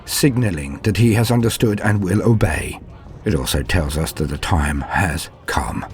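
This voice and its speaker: A man playing a frightened but dutiful knight in an audio drama, narrating gravely in archaic wording with dramatic pauses. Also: fairly low pitch, a little mellow, natural voice. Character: frightened but dutiful male knight recounting doomed battle.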